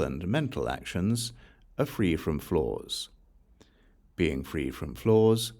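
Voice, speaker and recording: A man reading scripture aloud as an audiobook narrator, solemn and formal, in measured phrases that fall away at the ends. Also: sits low, dark tone. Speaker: audiobook reader.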